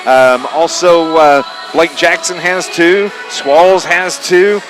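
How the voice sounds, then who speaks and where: An American man calling high school basketball live on radio in a conversational way, from a gymnasium. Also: steady tone, takes it slowly, over music. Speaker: radio play-by-play sports announcer.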